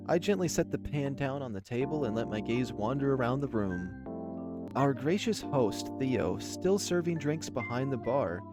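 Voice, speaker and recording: Man narrating a story over prominent music. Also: medium pitch. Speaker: narrator and reader of a visual novel. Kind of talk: expressive first-person story narration.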